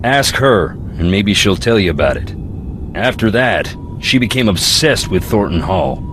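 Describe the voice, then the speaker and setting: An actor playing a brooding, philosophical young ghost hunter in a video game, with a Southern US accent and a wry, folksy drawl. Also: male voice, on the low side, expressive, measured pace, background noise. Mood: wistful, wry. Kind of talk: video game character dialogue.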